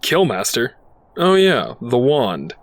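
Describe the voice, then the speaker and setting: A man's voice: mid-range voice, wildly swooping pitch, slow pace. A man playing a cynical, wisecracking robot detective with a sardonic delivery in an audio drama. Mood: dry, sardonic. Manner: sardonic, quippy.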